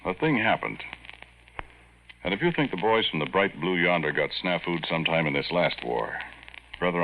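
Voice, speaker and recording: A man's voice: sits low, slight background noise. An actor playing a folksy, wisecracking Air Corps veteran telling his tale in slangy American speech for radio drama. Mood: confiding, wry. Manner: colloquial, slangy, confiding. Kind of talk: old-time radio first-person storytelling. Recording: tinny, band-limited vintage radio recording.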